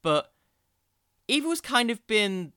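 Bright, crisp-voiced man hosting a podcast. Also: sits high, sing-song, slow pace, no echo, clean studio sound. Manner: informal, opinionated, digressive. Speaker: podcast host. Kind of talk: casual solo podcast commentary on wrestling.

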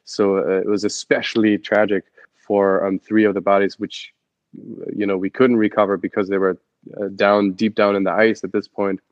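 German-accented young man, interview guest, halting storyteller, muffled dry audio. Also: fairly low pitch, little pitch movement, no echo. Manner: halting, many fillers and restarts. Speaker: guest, adventure photographer and expedition guide.

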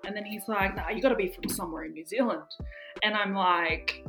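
A young woman with an Australian accent, co-hosting a podcast and telling stories in expressive, casual chat with some swearing. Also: medium pitch, a little fast, music in the background. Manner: expressive, swearing, acts out dialogue. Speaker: podcast co-host.

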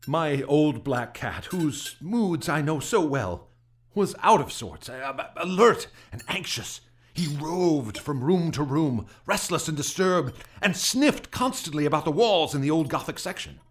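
An actor playing a troubled gentleman recounting frightening events in a radio drama, earnest and hesitant, stammering when unsettled. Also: on the high side, animated, measured pace. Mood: uneasy, recounting. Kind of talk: radio drama acting, halting testimony.

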